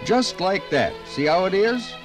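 A man's voice: high-pitched, expressive, a little slow, loud music behind the voice. An actor playing a folksy, plain-spoken American man narrating a 1950s radio documentary in a conversational, homespun way. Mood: folksy, emphatic.

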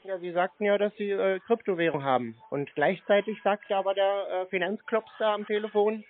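A man's voice: sits high, fairly even intonation, a little fast. A man in an argumentative phone call, blunt, insulting and insistent with the person he is confronting. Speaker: prankster confronting phone scammers. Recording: tinny phone line with faint noise.

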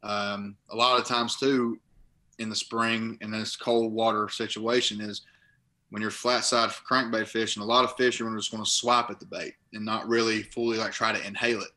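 A man's voice: fairly low pitch, level tone, normal speed, pushed and bright. A young man, a guest in a casual interview, talking from his living room over a laptop video call, rambling and restarting phrases as he explains. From Kentucky. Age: young adult. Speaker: guest, college linebacker and bass angler. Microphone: laptop webcam on video call.

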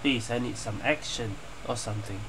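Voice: medium pitch, moderate pace, noisy background. A game streamer giving casual reaction commentary, wry and offhand, with the odd swear word.

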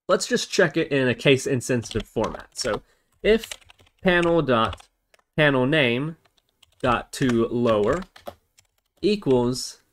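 Methodical man narrating a coding tutorial. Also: medium pitch. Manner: methodical, step-by-step explaining. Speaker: tutorial host. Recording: noisy, with keyboard typing and clicks. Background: computer keyboard typing and mouse clicks.

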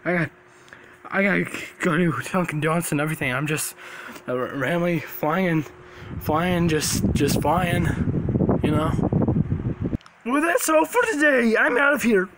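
A man voicing a manic, dimwitted cartoon creature that stammers, repeats himself and picks fights in a squeaky, creaky voice. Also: lively intonation, unhurried, pauses often, heavy background noise. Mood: dazed, weary.

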